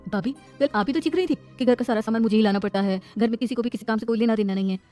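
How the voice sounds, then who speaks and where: A woman's voice: on the high side, rapid-fire, mellow, soft background music, close to an everyday voice. A woman voicing an outspoken, indignant young sister who argues back, in a moral-story cartoon. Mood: defiant. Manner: defiant, argumentative.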